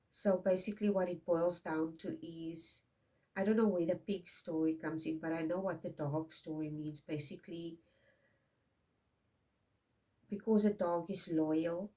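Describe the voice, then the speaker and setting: South African woman reading tarot, low voice. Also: dark tone, recorded from a distance, rough recording. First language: Afrikaans. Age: 50s. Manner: rambling, tags many lines with "okay?". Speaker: tarot reader. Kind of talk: casual intuitive card reading.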